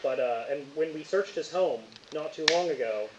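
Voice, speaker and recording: A man playing a helpful, puzzled police officer on a phone call in an improvised tabletop game, in an everyday halting voice. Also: fairly high pitch, lively intonation, distant mic, close to an everyday voice. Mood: grave, informative. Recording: echoey room, muffled, hissy.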